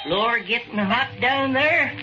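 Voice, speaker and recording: A man's voice: very high voice, slow pace. An actor playing a folksy, coldly amused old country man with a rural American accent, drawling and taunting in 1940s radio style. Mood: calm, mocking. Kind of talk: 1940s radio drama acting. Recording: dull old radio recording, loud music behind.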